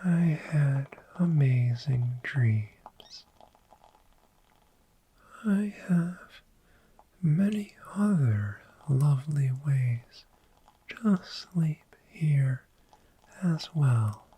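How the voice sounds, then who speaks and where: A gentle, friendly dragon acted by a woman speaks in a breathy, dark and velvety whisper for a sleepy ASMR roleplay. The delivery is extremely slow and soothing, lingering softly on repeated words. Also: low voice, lively intonation, heavily acted. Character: friendly, gentle, sleep-loving dragon hoarding cozy bedding. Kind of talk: soft-spoken ASMR roleplay.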